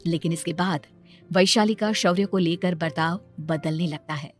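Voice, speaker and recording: A woman's voice: fairly low pitch, normal speed, soft background music. A woman reading scripted narration as the storyteller of a moral tale.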